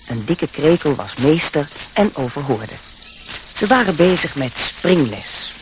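A man's voice: a little high, big pitch swings, a little slow, noisy background. A man narrating a radio play in a scripted storybook style.

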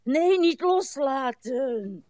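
An actress playing a weary old woman who fusses and complains in a radio play, with plaintive, halting delivery. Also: high voice, animated, a little mellow, no room sound, clean studio sound, heavily acted. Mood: bossy, anxious. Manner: plaintive, halting, muttering asides and sudden exclamations. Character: weary, fussing, complaining old lady, stiff and breathless.

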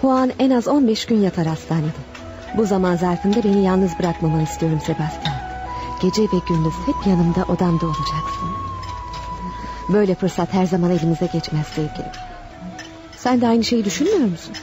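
Seductive unfaithful wife played by an actress over music. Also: female voice, on the low side, brisk, close to an everyday voice. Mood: coaxing. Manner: coaxing, flirtatious. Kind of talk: radio theater acting.

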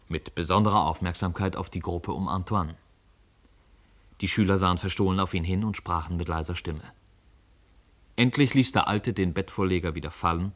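A man narrating a literary radio play from a script. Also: low voice.

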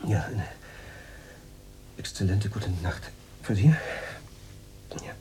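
A man's voice: fairly low pitch, takes it slowly. An actor in a radio drama playing a nervous, servile Moscow radio director, flustered and stammering as he repeats himself. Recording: noisy radio play recording with hum.

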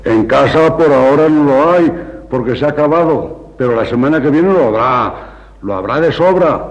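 An actor playing an evasive, indignant innkeeper in a vintage radio drama, with theatrical, old-fashioned diction. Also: male voice, mid-range voice, expressive, normal speed, natural voice. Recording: dull lo-fi archive radio recording.

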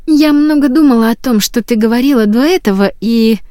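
A woman voicing an earnest, determined teenage girl in an audiobook, with a gentle, pleading manner. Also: fairly high pitch, brisk, no echo, studio quality, voice pushed up, lightly acted. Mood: careful, hopeful. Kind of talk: audiobook character voice.

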